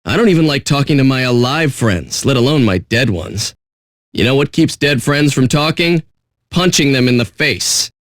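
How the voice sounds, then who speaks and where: An actor playing a jaded pirate radio DJ with a sardonic, blunt, profane way of talking. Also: male voice, mid-range voice, lively intonation, moderate pace, no room sound, studio quality, close to an everyday voice. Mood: dismissive. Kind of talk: irreverent radio DJ patter.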